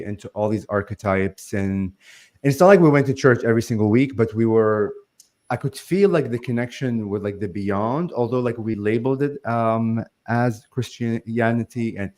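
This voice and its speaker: A man's voice: mid-range voice, normal speed, a little mellow. A man, a guest on a remote call, talking earnestly and loosely with many "like"s and "um"s. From Lebanon. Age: 40s. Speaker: guest, spiritual channel. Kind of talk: conversational interview.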